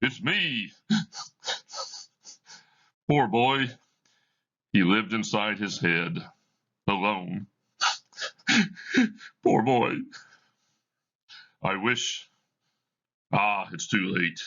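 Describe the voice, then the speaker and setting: Man voicing a grieving old miser, low voice, lively swings, slow, bright tone, dull lo-fi sound. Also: full character voice. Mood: regretful. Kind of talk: classroom read-aloud of a play.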